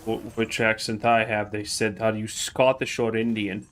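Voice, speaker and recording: A young man with a bright, sharp-edged voice heckles his co-host in casual chat. He is mocking and exasperated, and his remarks are crude. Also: on the low side, level tone, normal speed, a little hiss or hum. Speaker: podcast co-host.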